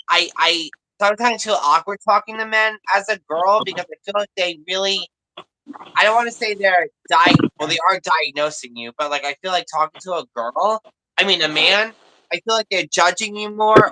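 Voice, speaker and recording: A woman's voice: on the low side, bright, forceful tone, a little hiss or hum, poor audio. A woman speaking openly in a casual chat, rambling in long run-on sentences with emphatic asides.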